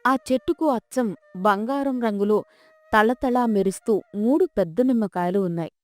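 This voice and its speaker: A woman's voice: mid-range voice, normal speed, no room sound. A woman narrating a scripted folk tale as a storyteller. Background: soft music.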